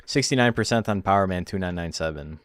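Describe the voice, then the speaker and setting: A man's voice: deep voice, fast. A man streaming and commentating a video game tournament in a laid-back, wry, teasing way, calling viewers "brother" and "man".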